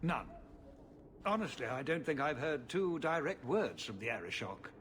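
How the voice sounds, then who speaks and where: An actor playing a burdened middle-aged city ruler in a fantasy game, speaking in a measured, formal, world-weary way. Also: male voice, mid-range voice, very animated, a bit bright, a little hiss or hum. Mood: wry, resigned. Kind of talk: video game cutscene acting.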